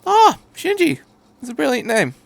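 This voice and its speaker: A man's voice: extremely high pitch, huge pitch swings, normal speed, vocal fry, dry recording, pitched above the performer's own voice, heavily acted. A man voicing a cheerful, chatty, eccentric male time traveller who rambles breezily in a fan fiction reading.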